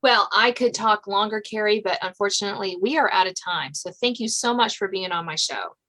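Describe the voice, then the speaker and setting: A woman's voice: mid-range voice, fairly quick, sharp tone, rough recording. A woman hosting a podcast, talking as an author in a conversational interview style.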